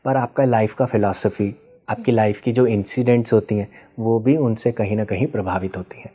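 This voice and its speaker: Male expert guest, warm low-key timbre, teacherly and patient, code-switches into English. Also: fairly even intonation, normal speed. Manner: patient teacherly explaining with frequent checking questions; mixes Hindi with English terms. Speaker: guest expert on ancestral healing. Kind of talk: explanatory interview answers.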